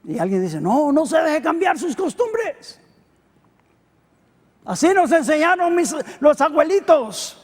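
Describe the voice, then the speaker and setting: A middle-aged Costa Rican pastor preaching a sermon, swinging between loud emphatic peaks and quiet low asides. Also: male voice, very animated, slow pace. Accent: Costa Rican Spanish. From Costa Rica. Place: church hall. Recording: long hall reverb.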